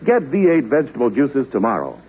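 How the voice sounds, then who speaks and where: Radio announcer guy selling juice, husky, lively, tinny old broadcast. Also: brisk. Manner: smooth persuasive pitch. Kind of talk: 1940s radio ad read.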